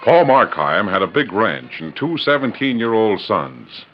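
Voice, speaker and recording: A man's voice: on the low side, very animated, measured pace, faint music behind. A man with an American accent, delivering grand, declamatory narration as a 1940s radio drama announcer. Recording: muffled, hissy 1940s radio recording.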